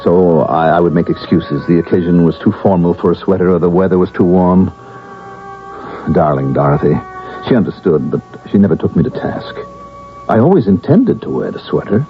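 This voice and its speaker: A man's voice: low voice, animated, brisk. An actor playing a troubled, insistent male patient in a vintage radio drama, earnest and pleading. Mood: wistful, confiding. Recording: dull vintage radio broadcast with music.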